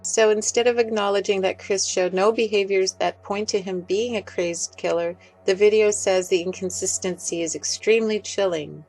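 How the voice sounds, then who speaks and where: A woman's voice: medium pitch, fairly even intonation, normal speed, hardly any pauses, darkish tone, faint music behind, rough recording. A woman reading a scripted, critical commentary as a voice-over. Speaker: video commentator. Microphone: voice-over recorded in separate snippets.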